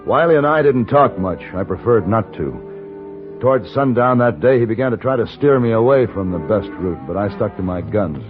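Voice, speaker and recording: A hard-bitten Old West gunman played by a man, with a low, gruff voice and a terse, impatient way of talking. It is old-time radio western acting on a muffled vintage recording, with music around the voice. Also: moderate pace, close to an everyday voice. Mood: measured narration.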